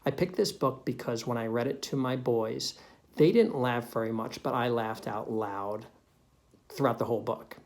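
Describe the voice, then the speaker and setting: A man's voice: medium pitch, moderate pace. A middle-aged man, reading aloud to children as a teacher, with playful, jokey casual asides.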